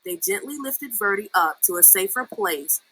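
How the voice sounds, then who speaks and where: A woman reading a children's story aloud in an expressive storytelling style. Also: mid-range voice, normal speed, slightly rough, low-quality recording. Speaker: story reader.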